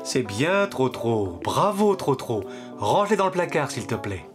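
A man voicing a kindly, playful father donkey in a preschool cartoon, gentle and encouraging in manner. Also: mid-range voice, sing-song, a little slow. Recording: music bed behind the voice.

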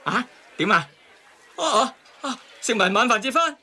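An actor playing a blustering henpecked husband in a satirical radio drama, speaking Hong Kong Cantonese. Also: male voice, very high-pitched, sing-song, normal speed, sharp tone, a faint crowd, heavily acted. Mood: fawning. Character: blustering henpecked husband, fawning to his wife.

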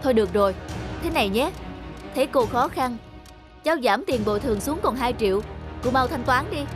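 A woman's voice: fairly high pitch, expressive, a little fast, over music, close to an everyday voice. A woman voicing a stern but kind-hearted young businessman in a cartoon, speaking in a firm, lecturing way. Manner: firm, lecturing, matter-of-fact. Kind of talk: cartoon voice acting.

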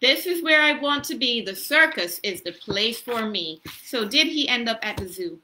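A woman hosts a children's story time in casual, simple language. Her voice is strikingly bright and sits a little high. She explains things plainly and often turns to the children with questions. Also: expressive, normal speed, a little hiss or hum, low-quality recording. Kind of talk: casual, child-directed teaching chat. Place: small room at home. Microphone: laptop or phone at arm's length.

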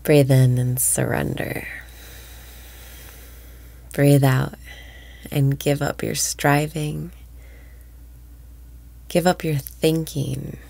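Slow, low-voiced woman guiding a meditation. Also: flat delivery, gravelly, a little mellow, no echo. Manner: slow soothing delivery, drops into whispers. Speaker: meditation guide. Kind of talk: guided breathing meditation.

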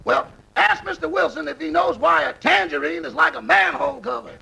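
Very gravelly, cheeky radio valet. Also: male voice, very high voice, animated, a little fast, bright, forceful tone, exaggerated voice. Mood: wisecracking. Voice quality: gravelly, raspy. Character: cheeky, wisecracking male valet calling his boss. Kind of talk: 1950s radio comedy acting.

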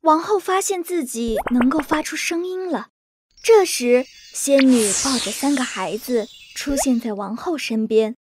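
Lively high-voiced woman storyteller. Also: unhurried, noisy background. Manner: lively, expressive. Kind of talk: scripted bedtime story narration.

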